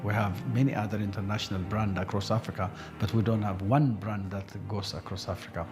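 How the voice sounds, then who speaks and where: A man describing his business vision in a halting way, repeating words. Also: lowish voice, fairly even intonation, over music. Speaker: interviewed business speaker.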